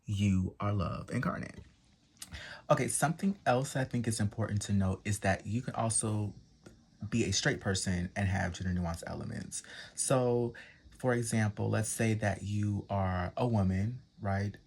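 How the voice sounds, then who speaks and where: A man with a soft, slightly low and breathy voice explains things in a calm, methodical way. He works through lists point by point and pauses often to find his words. It sounds like a presenter teaching an audience. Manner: measured, methodical listing with pauses and fillers. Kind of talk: explanatory talk to camera.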